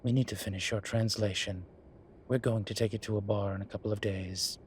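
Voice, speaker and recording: Weary incubus professor played by a man, lowish voice, wry and measured, intimate audio drama, ambient noise behind. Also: fairly even intonation, close to an everyday voice. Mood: dry, resolved. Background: ambient sound effects.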